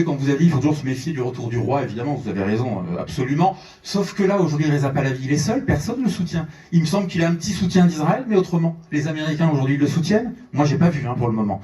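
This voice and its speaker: A man's voice: fairly high pitch, mellow. A French-Iranian man, a journalist, giving a fervent speech at a conference in a large theatre, repeating words when he pushes a point. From France and Iran, French-Iranian. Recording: echoey hall, voice from a distance.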